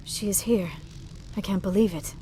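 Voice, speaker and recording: A woman's voice: mid-range voice, fairly even intonation, normal speed, warm tone, a little hiss or hum, close to an everyday voice, rough recording. An actress playing an earnest female character in a video game. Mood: earnest, subdued.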